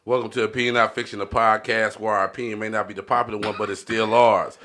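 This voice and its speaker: A man's voice: lowish voice, little pitch movement, fast talker, background noise. A man with an American accent hosting a podcast in casual, slangy chat, leaning on repeated catchphrases. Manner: slangy, repeats "you know what I'm talking about". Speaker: podcast host. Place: podcast studio.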